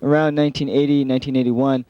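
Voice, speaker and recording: A middle-aged American man reminiscing in an unscripted interview, with an earnest, halting way of talking. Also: medium pitch, little pitch movement, warm tone, dry recording, muffled recording. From San Francisco Bay Area, United States. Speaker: program chairman of an old-time radio club.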